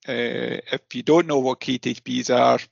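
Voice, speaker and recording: A man hosting a podcast in a casual, halting chat style, often repeating his words. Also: mid-range voice, steady tone, vocal fry, no room sound, lo-fi sound. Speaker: podcast host.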